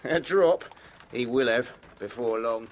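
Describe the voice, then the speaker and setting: An actor playing a loyal, working-class Georgian constable in an audio drama, colloquial and darkly jocular. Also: male voice, medium pitch, measured pace, close to an everyday voice. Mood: gloating. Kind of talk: period audio drama acting.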